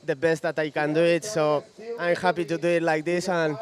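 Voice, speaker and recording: A man's voice: a little high, level tone. Young male athlete, broken English, outdoor interview with a crowd audible. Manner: halting non-native English, soft-spoken. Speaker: competitor being interviewed. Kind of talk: post-heat interview. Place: outdoor beach event interview backdrop. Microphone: handheld mic held by interviewer.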